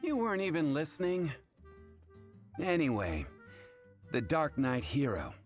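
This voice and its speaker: A man's voice: fairly high pitch, wildly swooping pitch, unhurried, faint music behind. A man playing a chatty, easygoing tavern bartender in a video game, sociable and wry in a conversational way. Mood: storytelling.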